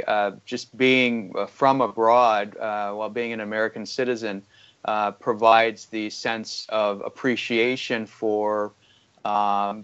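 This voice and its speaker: A man from Iran, a guest analyst in an interview, speaking in a measured way with many fillers. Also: fairly low pitch, fairly bright tone, smooth, lo-fi sound. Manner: measured, filler-heavy and articulate. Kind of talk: conversational interview.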